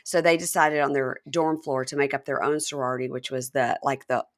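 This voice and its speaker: A woman in her fifties with a notably low voice, hosting a casual podcast chat. She rambles along with plenty of fillers and asides, easy and conversational. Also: steady tone, a little fast. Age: 50s. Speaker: podcast host.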